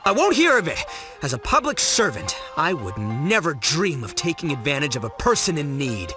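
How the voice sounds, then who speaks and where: A boisterous, dim young video game hero whose voice leaps all over the place. He is slangy, stammering and theatrical, full of big-hearted bluster. Loud music plays behind him. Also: a little high, very animated, moderate pace. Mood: noble, flustered. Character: brash, dim, big-hearted young man hamming up a public servant. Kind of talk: animated game voice acting.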